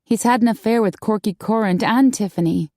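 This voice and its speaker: A woman voicing a gentle, troubled female friend in an audiobook, speaking earnestly and sympathetically. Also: mid-range voice, a little fast, dry recording, clean studio sound, pitched above the performer's own voice, close to an everyday voice. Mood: apologetic, worried. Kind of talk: audiobook character acting.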